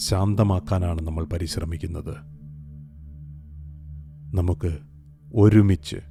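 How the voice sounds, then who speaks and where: A man guiding a meditation, giving soft, calm instructions with long pauses. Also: low voice. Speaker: meditation guide. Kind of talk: guided meditation narration.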